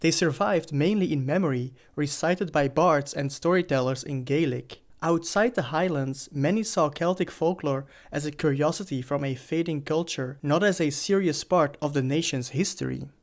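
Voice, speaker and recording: A man hosting a channel, giving a scripted explainer narration in a measured, even storytelling manner. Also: on the high side. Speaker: host. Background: faint background music.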